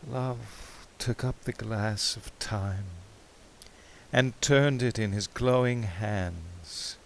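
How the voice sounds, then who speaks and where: A man giving a dramatic poetry recitation in a measured, theatrical, expressive way, with drawn-out phrases. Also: lowish voice, vocal fry, a little hiss or hum. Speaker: poetry reader.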